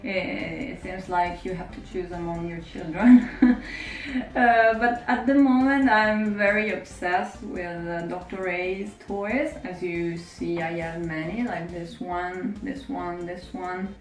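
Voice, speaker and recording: A woman from Italy, chatting about her toy collection in a chatty, giggly, deliberate manner. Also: medium pitch. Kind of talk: casual video testimonial. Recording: echoey room.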